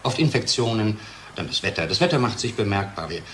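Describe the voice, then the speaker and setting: A man's voice: lowish voice, sing-song, a little fast, fairly bright tone, slight background noise. An actor playing a defensive, complaining male lab researcher in a radio drama. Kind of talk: conversational radio drama acting.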